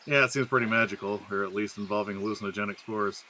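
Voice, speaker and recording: A man's voice: lowish voice, steady tone, quick pace, sharp tone, slight background noise. A man playing himself in a scripted comedic audio drama. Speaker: podcast regular playing himself in a comedy skit.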